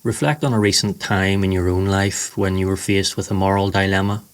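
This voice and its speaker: A man narrating a scripted guided meditation in a slow, soothing, measured way. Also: low-pitched, steady tone.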